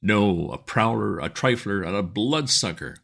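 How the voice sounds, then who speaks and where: A man voicing a bearded street-corner agitator who rants at a crowd in a loud, hectoring, declamatory voice. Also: on the low side, expressive, unhurried, creaky, voice pushed up, exaggerated voice.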